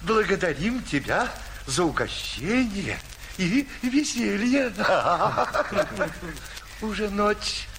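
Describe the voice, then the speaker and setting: A man's voice: sits high, very animated, extremely slow, fairly bright tone, slight background noise, exaggerated voice. An actor playing a merry street musician in an audio fairy tale, thanking his host. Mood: grateful. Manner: singing a jaunty song, then thanking politely.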